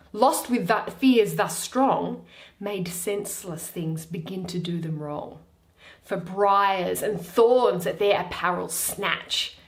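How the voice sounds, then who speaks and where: A woman giving a theatrical solo reading of a Shakespeare play, announcing each character before the lines. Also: mid-range voice. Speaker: reader of a play, channel host.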